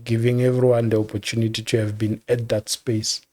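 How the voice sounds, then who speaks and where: An older man with a South African accent, a music executive telling stories in a relaxed interview, measured and repeating words as he thinks. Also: medium pitch.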